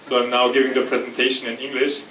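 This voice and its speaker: A man from Austria giving a tech meetup talk, halting with many fillers and restarts, jet-lagged. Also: mid-range voice, completely flat delivery, bright, forceful tone. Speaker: conference presenter. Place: meetup room. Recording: echoey room, distant mic, faint noise.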